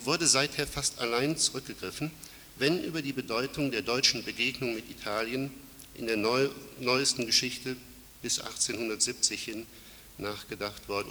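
A man's voice: medium pitch, fairly even intonation, pushed and bright. Man with a cold, formal academic speaker in a reverberant lecture hall. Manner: formal, measured, with frequent fillers and self-corrections. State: has a cold. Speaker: conference speaker. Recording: hissy reverberant hall recording.

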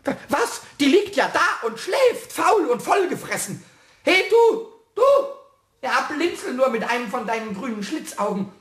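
A man playing a cheeky, childish little kobold in a German children's radio play, with a squeaky voice, boastful, easily offended and stammering when worked up. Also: big pitch swings, unhurried, a bit bright, echoey room, heavily acted. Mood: scornful, taunting.

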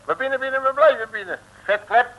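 A man's voice: extremely high pitch, quick pace, phone-quality sound. A man playing a brash, grumbling, wisecracking private detective with slangy, speech in an old radio serial. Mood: gruff. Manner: slangy, sardonic, folksy. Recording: noisy old radio recording.

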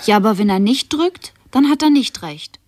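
A woman's voice: a little high, lively intonation, moderate pace, darkish tone, dry recording, clean studio sound, natural voice. An actress playing a puzzled woman who questions insistently and naively in a radio play.

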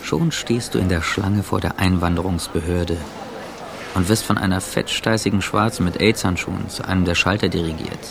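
An actor playing an anxious, sardonic traveller in a radio drama monologue, speaking deliberately and ironically. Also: male voice, on the low side, vocal fry, noisy background. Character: anxious, sardonic male traveller narrating his own ordeal.

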